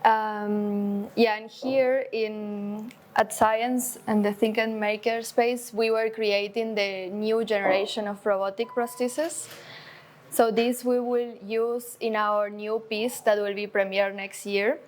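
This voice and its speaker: A woman's voice: mid-range voice, flat delivery, takes it slowly, a little hiss or hum. A woman from Argentina, an artist with a dance background, presenting a talk to an audience in a friendly, plain way with some hesitant fillers.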